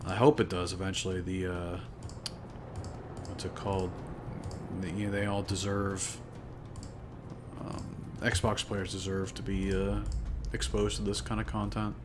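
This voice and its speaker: Male streamer, deep low voice, mumbly and tired, casual self-talk over background music. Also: a little slow, pauses often. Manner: mumbles to himself, sounds tired and flat. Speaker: modding streamer. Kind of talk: casual live-stream chat while modding. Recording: music playing behind.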